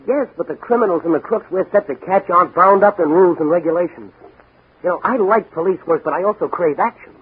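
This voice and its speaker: A brash young policeman in an old radio serial, itching for action. He talks quickly in a rough, husky voice, muffled by a thin 1940s recording. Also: high-pitched. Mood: impatient. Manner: eager, impatient, punchy delivery. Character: brash young rookie patrolman, secret masked crime fighter, eager for action. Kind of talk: 1940s radio drama acting.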